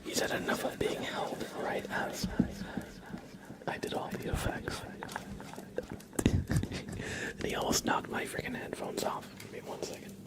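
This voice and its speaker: A man hosting an ASMR livestream in a soft, breathy half-whisper with a creaky low voice, playful and unhurried, close on the microphone. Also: low-quality recording. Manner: playful, gently joking, unhurried. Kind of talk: ASMR tarot card reading for viewers.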